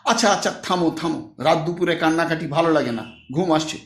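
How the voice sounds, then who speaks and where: A man's voice: a little high, brisk, natural voice. A man voicing an irritable middle-aged husband, grumbling and sarcastic, in an audiobook story. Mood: irritated. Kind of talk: audiobook character acting. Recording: echoey room.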